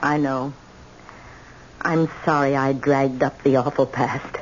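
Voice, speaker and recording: A woman's voice: a bass voice, fairly even intonation, slow pace. A woman playing an indomitable, bitter old grandmother, imperious and emphatic, in old-time radio drama style. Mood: pleading, brooding. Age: older. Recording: dull, noisy old radio recording.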